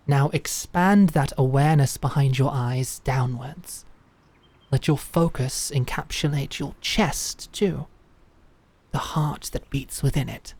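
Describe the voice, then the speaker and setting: Woman acting slow, measured female knight mentor. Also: low-pitched, warm tone, exaggerated voice. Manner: measured, deliberate instructing. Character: female knight mentor, calm, commanding, encouraging swordmaster. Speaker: voice actor. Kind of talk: audio drama acting.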